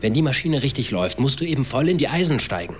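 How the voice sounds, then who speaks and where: A man's voice: medium pitch, big pitch swings, quick pace, slight background noise, natural voice. An actor playing a cocky young man who recounts events in a slangy, clipped, matter-of-fact way in a radio drama. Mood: defiant. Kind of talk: German radio drama acting, confessional narration. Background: music.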